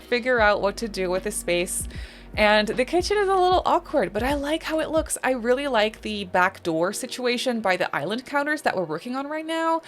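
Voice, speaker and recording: A woman's voice: medium pitch, fairly bright tone. A young woman from the Netherlands with a Dutch accent, chatting casually over a game build and rambling with lots of fillers. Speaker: Sims builder and YouTuber.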